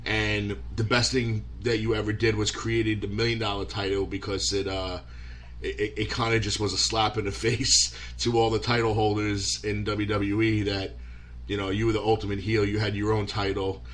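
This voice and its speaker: Low-voiced man hosting an interview. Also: flat delivery, moderate pace, a bit bright. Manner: eager, stammering questions. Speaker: podcast host. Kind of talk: casual interview.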